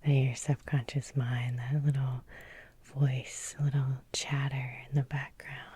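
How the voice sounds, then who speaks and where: A woman leading an ASMR guided hypnosis in a breathy whisper, soothing and repetitive, with lingering pauses. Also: extremely low pitch, little pitch movement, warm tone, dry recording. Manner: slow, soothing, repeating phrases with lingering pauses. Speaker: hypnotherapist and Reiki healer leading a guided meditation.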